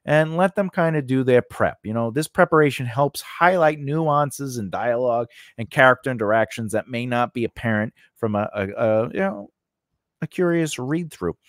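A man in his forties hosts a lesson in a mid-pitched voice, recorded close on a studio microphone with very little room sound. He talks informally and haltingly, often rewording his points as he explains them. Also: animated, no room sound. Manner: conversational, halting, often rephrasing himself. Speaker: host of a scriptwriting lesson. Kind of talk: informal instructional talk to camera. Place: small indoor recording space. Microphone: close studio microphone on a stand.

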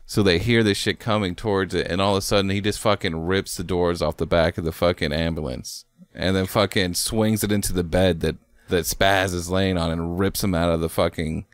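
A low-voiced man in a dry recording. He hosts a podcast, brainstorming a story in an informal, sweary way. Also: steady tone, brisk, dry recording. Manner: foul-mouthed, informal. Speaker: podcast host.

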